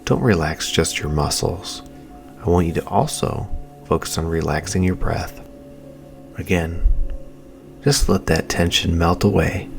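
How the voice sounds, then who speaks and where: A man coaching listeners through a guided meditation, speaking in a calm, soothing way with long pauses. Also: low-pitched, gravelly, faint music behind.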